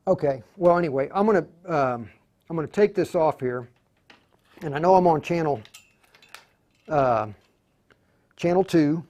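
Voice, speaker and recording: A man with a slightly high voice leads an informal live tutorial. He thinks aloud in halting phrases, muttering to himself while he works and talking to viewers in a plain, reassuring way. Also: darkish tone, highs cut off. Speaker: tutorial host demonstrating radio programming.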